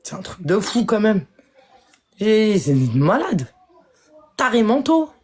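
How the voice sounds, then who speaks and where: A heated woman streamer jumps up and down in pitch as she scolds the people watching her live. She turns confrontational and indignant, firing back at viewers one moment and muttering the next. Her talk is unscripted and spoken straight to her audience. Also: sing-song, slow pace, husky. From France. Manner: indignant, confrontational, swings between shouting and muttering; addresses viewers directly, scolds commenters.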